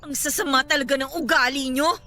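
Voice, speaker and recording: A woman's voice: sits high, fairly even intonation, brisk, sharp tone. A woman playing a desperate, angry young mother in a Filipino radio drama, heated, sarcastic and emphatic with a Filipino accent. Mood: anxious, angry. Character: desperate, angry young mother confronting her cruel rival. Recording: dramatic music bed behind the voice.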